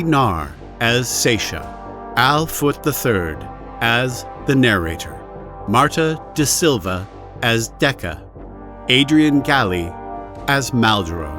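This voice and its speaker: A man reading scripted end credits as an announcer. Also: mid-range voice.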